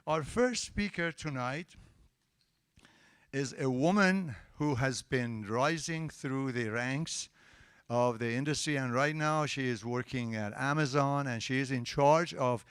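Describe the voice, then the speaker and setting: Firm, polite meetup host with a clear voice. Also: male voice, medium pitch, a little slow, no echo, studio quality. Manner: polite but firm, asks the crowd for quiet. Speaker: event host and interviewer. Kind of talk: hosting a live tech meetup, then interviewing. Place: event hall with a live audience.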